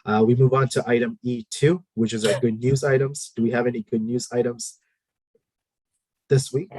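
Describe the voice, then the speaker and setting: A man chairing a formal board committee meeting, leading a prayer and calling items in a measured, procedural way with frequent fillers. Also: mid-range voice, level tone, a little mellow, husky, no room sound, rough recording.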